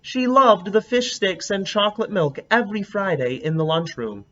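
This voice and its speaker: A man reading a picture book aloud as a teacher, a lively storyteller who stops to comment on the pictures. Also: sits high, moderate pace, dull audio.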